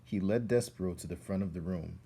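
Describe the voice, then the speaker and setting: A man reading a storybook aloud as narrator, with expressive delivery. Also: deep voice, fairly quick, vocal fry, breathy edge.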